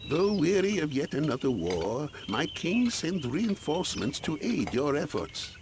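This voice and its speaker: An actor playing a solemn ambassador of a wizard kingdom in a video game, formal and dramatic. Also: male voice, on the high side, sing-song, a little slow, background noise. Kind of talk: scripted video game cutscene dialogue.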